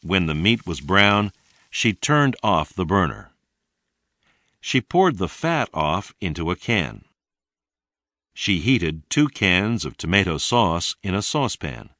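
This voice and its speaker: A man narrates an English lesson in a very low voice. He reads slowly and deliberately, enunciating each sentence for learners. His intonation moves up and down in a lively way. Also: dry recording. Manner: deliberate, clearly enunciated teaching delivery. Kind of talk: scripted read-along narration.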